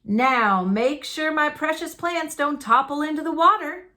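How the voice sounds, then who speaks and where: A woman voicing a fruit-obsessed, fretful pioneer father in a storybook, exclaiming loudly over his precious plants. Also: sits high, a little slow, pitched above the performer's own voice. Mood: stern warning. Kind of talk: storybook character voice.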